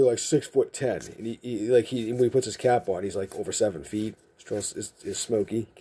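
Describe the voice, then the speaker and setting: A man from Massachusetts with a softened Massachusetts accent, chatting in a rambling, jokey way while sorting trading cards indoors, picked up by a camera mic from off-camera. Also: mid-range voice, husky. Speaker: card collecting channel host. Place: indoor room with card shelves.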